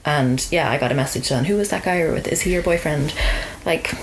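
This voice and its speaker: A woman's voice: low voice, fast, slight background noise, rough recording. A young woman with an Irish accent hosting a podcast in a casual, conversational way. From Ireland. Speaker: podcast host. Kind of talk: casual chat.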